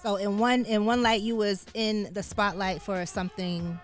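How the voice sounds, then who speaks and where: A woman co-hosting an interview, asking relaxed questions and giving short replies. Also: medium pitch, moderate pace, faint music behind. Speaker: co-host.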